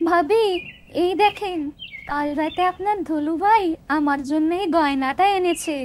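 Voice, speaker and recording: A woman voicing a gloating village housewife in a comic cartoon, teasing in a sugary, drawn-out, taunting way. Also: very high-pitched, a little hiss or hum. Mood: smug.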